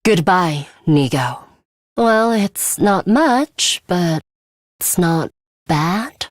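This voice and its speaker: A woman's voice: fairly low pitch, animated, drawn-out words, no room sound, clean studio sound, full character voice. A woman voicing a cold, ruthless young female fighter in an English video game, composed, blunt and commanding, with a bloodthirsty streak. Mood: composed, serious.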